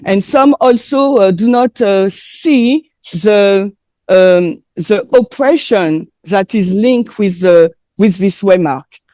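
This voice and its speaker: A woman speaking English with a French accent, French being her first language. She preaches slowly and haltingly, often pausing on fillers, in a soft, mellow tone. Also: medium pitch. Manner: hesitant, frequent filler pauses. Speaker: presenter. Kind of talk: sermon with consecutive interpretation.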